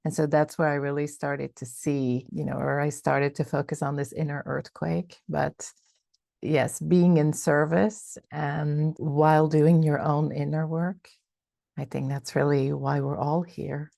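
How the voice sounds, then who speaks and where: A middle-aged woman from Belgium talks through her story in a measured, reflective way as an interview guest. Her voice sits very low and mellow, with a gravelly edge, and the recording is close and dry. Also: flat delivery, no echo. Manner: reflective, measured, with many fillers and restarts. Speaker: guest, coach and founder. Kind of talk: casual interview talk.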